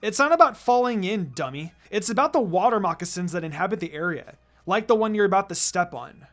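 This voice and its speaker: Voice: mid-range voice, animated, fairly quick, close to an everyday voice. A narrator voicing an irritated, sassy young girl with a snappy, teasing delivery in a fan fiction story, over music. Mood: scolding. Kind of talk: acted fan fiction dialogue.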